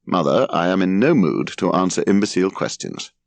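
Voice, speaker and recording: A man's voice: low-pitched, expressive, a little fast, creaky, no room sound. A man voicing an exasperated young male writer in an audiobook, pompous, sarcastic and theatrically indignant. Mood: bitter.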